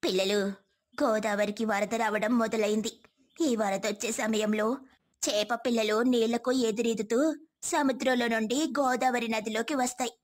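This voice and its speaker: A woman's voice: a little high, fairly even intonation, moderate pace, close to an everyday voice. A woman voicing a kind, reassuring motherly village woman in a moral story. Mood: reassuring, advising.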